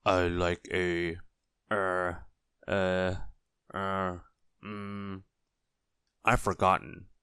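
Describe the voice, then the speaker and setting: A man voicing a forgetful, good-natured storybook gentleman who is hesitant, muddled and easily flustered. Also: lowish voice, lively intonation, moderate pace, few pauses, no room sound, clean studio sound, close to an everyday voice.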